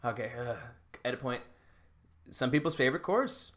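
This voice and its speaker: A man's voice: mid-range voice, expressive, normal speed, fairly bright tone. A man co-hosting a podcast in casual banter, easygoing and self-deprecating as he talks. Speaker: podcast co-host. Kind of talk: casual banter between friends.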